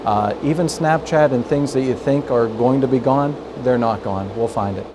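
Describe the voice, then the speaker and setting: A man's voice: medium pitch, moderate pace, background noise. A man, a professor giving explanatory answers as an expert in an interview.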